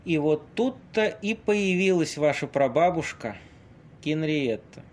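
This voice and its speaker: An actor in an amateur audio play playing Casanova as a worldly, theatrical Venetian seducer who tells things with weighty pauses. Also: male voice, fairly high pitch, expressive, a little mellow, a little hiss or hum. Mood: worldly, dramatic storytelling. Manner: dramatic storytelling with weighty pauses.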